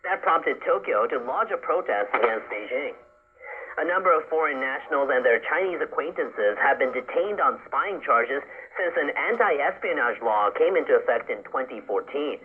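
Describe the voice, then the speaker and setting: A man reading a scripted news bulletin as a radio newsreader. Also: medium pitch. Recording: thin phone-like shortwave radio broadcast.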